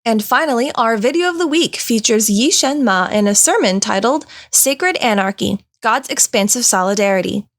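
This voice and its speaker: A woman's voice: on the high side, normal speed, few pauses. Woman reading a church news roundup. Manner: even, polished read. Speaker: news host. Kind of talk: scripted news narration.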